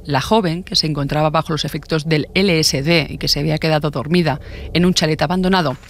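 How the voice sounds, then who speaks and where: True-crime narrator: man, accent from Spain, fairly high pitch, polished scripted delivery. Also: fairly even intonation, fast talker, a little hiss or hum. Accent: Spanish from Spain. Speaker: podcast narrator.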